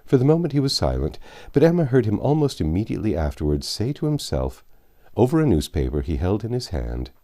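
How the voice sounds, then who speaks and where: A man with a deep, mellow voice reads classic fiction aloud. His delivery is measured and literary, with expressive phrasing and a wide, animated swing in pitch. It has the manner of an audiobook narrator. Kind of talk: reading a classic novel aloud.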